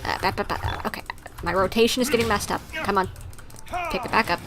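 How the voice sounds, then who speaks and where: A woman's voice: medium pitch, lively intonation, a little fast. A woman giving casual livestream commentary on a video game, half talking to herself as she reacts to play. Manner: muttering reactions to play, self-talk. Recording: noisy stream with game sounds.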